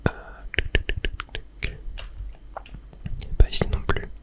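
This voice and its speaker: Someone softly whispering calm, soothing ASMR commentary while playing a video game, describing each step as it goes. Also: low-quality recording. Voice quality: soft unvoiced whisper. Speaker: video game streamer, ASMR channel host.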